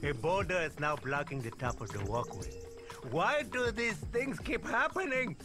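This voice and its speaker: A bossy cartoon lemur monarch. The voice sits high and swings theatrically from line to line, full of grand self-regard, with game music underneath. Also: male voice, animated, normal speed. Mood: bossy, self-important. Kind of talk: animated video game acting.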